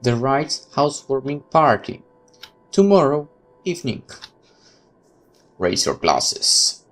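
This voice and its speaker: A man's voice: medium pitch, big pitch swings, slow pace. A man reading a horror visual novel aloud as a let's player, with casual commentary of his own.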